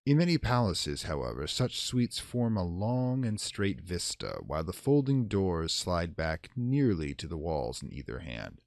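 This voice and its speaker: A man's voice: low-pitched, sing-song, measured pace, few pauses, darkish tone, no echo, clean studio sound. A man hosting and narrating a horror audio show in a theatrical, playfully ominous manner. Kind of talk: theatrical horror storytelling, intro chat then dramatic reading.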